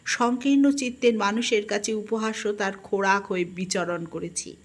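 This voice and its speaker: A woman reads a first-person literary story in an expressive, melancholic and dramatic manner. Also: mid-range voice, moderate pace. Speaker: audiobook narrator.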